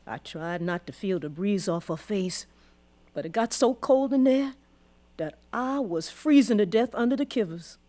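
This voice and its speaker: A woman's voice: mid-range voice, animated, moderate pace, warm tone, close to an everyday voice. An actress playing a weary, proud old Southern grandmother who was once enslaved, telling her story in heartfelt folksy dialect for a radio drama. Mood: grave, remembering.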